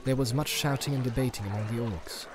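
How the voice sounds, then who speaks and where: A male audiobook narrator tells a fantasy story in a dramatic, scripted style. His voice sits at a middle pitch. A faint ambient soundscape runs behind him. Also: fast, noisy background.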